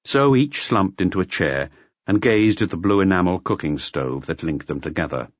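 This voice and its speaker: A man narrating a literary audiobook in a measured, expressive storytelling manner. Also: deep voice, dry recording, dull audio. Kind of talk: scripted literary narration of a detective novel.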